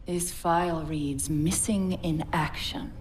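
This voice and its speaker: An actress playing a proud, defiant older female military scientist with measured, clipped, imperious delivery in a game cinematic. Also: sits low, steady tone, slight background noise. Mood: suspicious. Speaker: military scientist being interrogated. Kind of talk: dramatic game cinematic acting.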